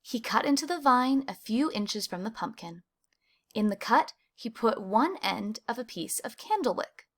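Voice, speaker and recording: A woman reading a children's picture book aloud, with animated emphasis on key words. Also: on the high side, normal speed. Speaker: storybook reader.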